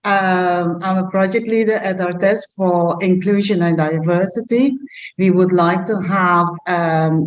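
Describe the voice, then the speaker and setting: Low-voiced woman from Aruba. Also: darkish tone, low-quality recording. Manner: earnest, pausing to find words. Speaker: project leader for inclusion. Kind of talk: casual self-introduction. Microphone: video call.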